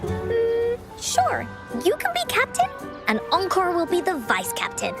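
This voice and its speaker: A cheerful little girl from a video game, chirpy and talking about herself by name. The voice sits very high and bounces up and down with lively swings. Game music plays clearly behind her. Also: a little slow, exaggerated voice. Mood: eager. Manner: bubbly, refers to herself by name. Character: cheerful childlike girl who talks of herself in third person.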